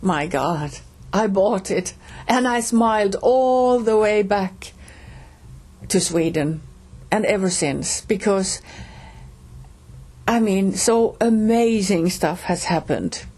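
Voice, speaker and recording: A middle-aged woman with a Finnish accent, telling a personal story slowly, with pauses and restarts. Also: medium pitch, animated, a little mellow. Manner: slow, halting, with pauses and restarts. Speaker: reader and storyteller.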